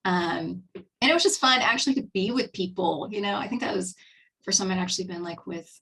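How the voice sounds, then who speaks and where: Husky Texan woman hosting a webinar. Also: medium pitch, a little fast, sharp tone, distant mic. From Texas, USA. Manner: chatty and upbeat, with many fillers and restarts. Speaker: webinar host and skincare presenter. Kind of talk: casual webinar presentation.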